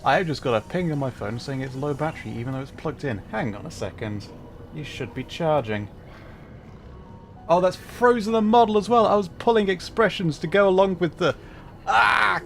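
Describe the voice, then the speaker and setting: A man's voice: fairly high pitch, expressive, normal speed, vocal fry. A man streaming a puzzle game as a VTuber, giving chatty, self-deprecating commentary and laughing often. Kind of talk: casual gameplay commentary.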